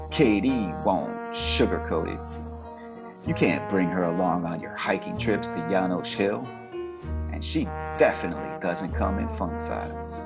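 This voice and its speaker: Low-voiced man narrating noir over music. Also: vocal fry. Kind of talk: hard-boiled noir radio narration, tongue-in-cheek. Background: music under the voice.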